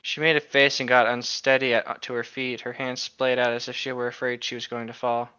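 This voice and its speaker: A man with a bright, forward voice at an ordinary pitch, bantering casually as a co-host. He sounds flippant, and the recording is a little dull. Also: flat delivery, fairly quick, clear, resonant tone. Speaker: podcast co-host reading and riffing on a novel. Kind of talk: casual banter with read-aloud.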